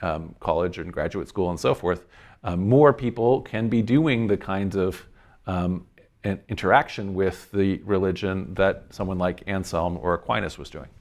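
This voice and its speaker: A man with a low voice talks through his reflections as a lecturer would in a relaxed podcast discussion. His delivery is articulate and thoughtful, often halting as he works out the wording. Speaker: lecturer answering audience questions.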